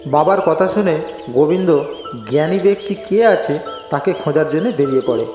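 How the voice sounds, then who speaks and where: A man's voice: fairly high pitch, moderate pace. A man narrating a scripted moral folk tale as a storyteller. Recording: very echoey reverberant voice track. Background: faint music.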